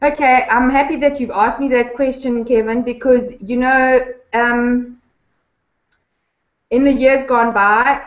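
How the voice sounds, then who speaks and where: A woman, a guest talking about her life in warm, enthusiastic, unhurried speech with thoughtful pauses, heard over a remote call. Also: on the high side. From Zimbabwe. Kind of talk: casual interview chat. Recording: echoey, dull lo-fi remote line.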